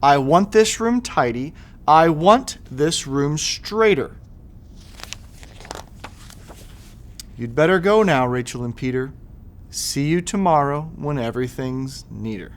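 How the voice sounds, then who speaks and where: A man's voice: fairly high pitch, expressive, unhurried, slight background noise. A man voicing an exasperated, scolding mother in a rhyming children's storybook, with a sing-song delivery. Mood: stern. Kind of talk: children's storybook read-aloud.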